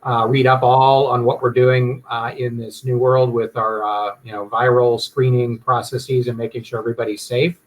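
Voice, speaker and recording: A man's voice: mid-range voice, little pitch movement, moderate pace, hardly any pauses, rough recording. Chatty middle-aged male eye surgeon. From Hawaii. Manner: friendly, chatty, slips into promotional talk. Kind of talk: casual radio chat.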